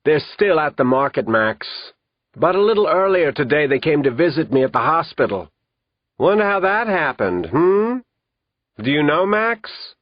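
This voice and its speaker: A man playing a cold, commanding villain doctor in an audiobook, speaking calmly and with authority. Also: on the high side, moderate pace, no echo. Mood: cold menace. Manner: calm, authoritative, threatening. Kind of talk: audiobook character reading. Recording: lo-fi audio with faint music.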